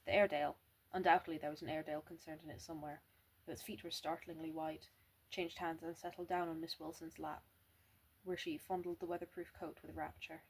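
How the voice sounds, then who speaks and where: Husky young woman reading with a stammer. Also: low-pitched, little pitch movement, brisk, poor audio. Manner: stammers and restarts words, plain reading. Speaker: amateur reader. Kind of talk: reading a novel aloud.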